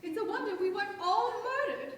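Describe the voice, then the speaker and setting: Shrill-pitched haughty lady, echoey and theatrical. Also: expressive, fairly quick, a bit bright. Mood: melodramatic. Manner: theatrical, indignant. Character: haughty, melodramatic, tactless chatterbox lady, easily offended. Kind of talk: staged radio play acting. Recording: echoey, distant, background noise.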